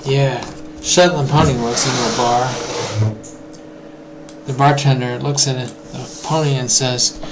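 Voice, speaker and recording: A hoarse man thinking aloud while he programs, muttering to himself and drifting into mock-grand asides. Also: medium pitch, fairly even intonation, unhurried. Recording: clear background noise.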